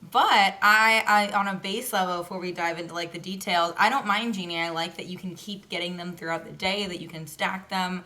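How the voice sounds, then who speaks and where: A woman's voice: lowish voice, steady tone, fairly quick, hardly any pauses, bright, forceful tone. A young woman co-hosting a casual podcast, chatty and opinionated, often laughing as she talks. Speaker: podcast co-host. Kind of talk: casual chat.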